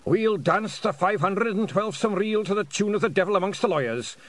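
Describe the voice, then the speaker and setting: A solemn little Scottish pixie bard, speaks with courteous pride. His Rs roll richly and his words come out slow and careful, lifted high and swinging widely in pitch. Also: expressive, fairly bright tone, no room sound. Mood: solemn, proud. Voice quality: rolled drum-roll Rs. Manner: clear, slow, careful speech. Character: small clan bard, polite, solemn, proud pixie man.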